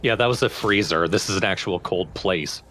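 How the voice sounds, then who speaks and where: Man, lowish voice, casual gaming chat, slight background noise. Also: moderate pace, sharp tone. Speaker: let's play co-commentator.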